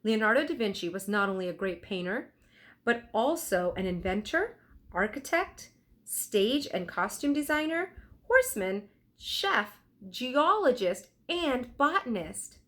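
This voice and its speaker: A woman's voice: a little high, sing-song, a little slow, fairly bright tone. A woman reading a children's storybook, voicing an earnest, bookish young boy explorer with emphatic, explanatory delivery. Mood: studious. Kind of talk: children's storybook read aloud.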